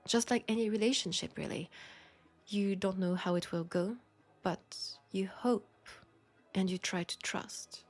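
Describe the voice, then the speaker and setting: A woman with a French accent playing a seductive, world-weary female vampire in an intimate audio roleplay, speaking softly and deliberately, often slipping toward a whisper. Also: mid-range voice, faint music behind. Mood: frank, earnest.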